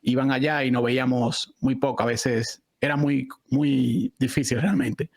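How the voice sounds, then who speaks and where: A man's voice: medium pitch, fairly even intonation, measured pace, creaky, a little mellow, no room sound. A man of about forty with a Dominican Spanish accent, speaking conversationally as an interview guest. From Dominican Republic. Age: around 40. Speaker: podcast guest, real estate investor.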